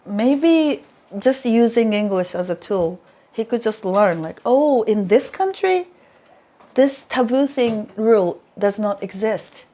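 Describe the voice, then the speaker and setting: A woman's voice: a little high, expressive, slow pace, mellow. A young Japanese woman with a Japanese accent, telling stories in a casual interview and laughing often. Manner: chatty, laughs often, playful storytelling. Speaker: former reporter, interview guest.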